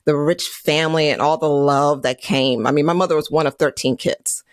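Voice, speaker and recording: A woman from Trinidad and Tobago talks about her life as a podcast guest, reflective and quick to laugh. Her voice is on the low side. The recording is close and dry. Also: fairly even intonation, no echo. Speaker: guest, financial advisor. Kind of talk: conversational interview answers.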